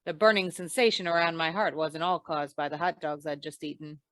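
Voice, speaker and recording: A woman playing a deadpan, hard-boiled female investigator in an old-time radio crime noir, with dry, world-weary delivery. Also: sits low, fairly quick, no echo. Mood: wry, deadpan. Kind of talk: 1940s radio noir narration and dialogue.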